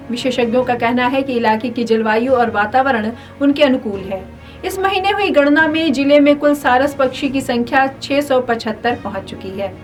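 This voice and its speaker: A woman's voice: high voice, recorded from a distance. A woman presenting a scripted radio news bulletin.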